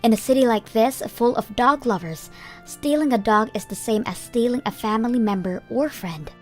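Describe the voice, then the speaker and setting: A woman's voice: a little high, moderate pace, faint music behind. A woman reading a scripted first-person story as the narrator of a comic dub.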